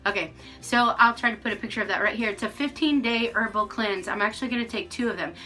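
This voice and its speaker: A woman's voice: a little high, fast talker, bright, forceful tone, soft background music, rough recording. A woman in her forties giving a casual, frank vlog update to camera from her rural home, with lots of ums and restarts. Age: 40s. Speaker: vlogger.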